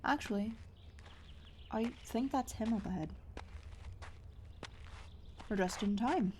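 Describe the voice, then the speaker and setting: A woman playing a brisk, wry, guarded young outcast guide in a fantasy audio drama. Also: fairly high pitch, warm tone, slight background noise. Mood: awkward, reflective. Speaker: voice actor. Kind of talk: fantasy audio roleplay acting.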